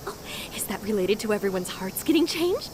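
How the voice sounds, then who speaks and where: A woman playing a bubbly, eccentric adult AI researcher in an animated game dub, breezy, chatty and enthusiastic. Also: medium pitch, brisk, noisy background, natural voice. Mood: breezy, curious. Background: music.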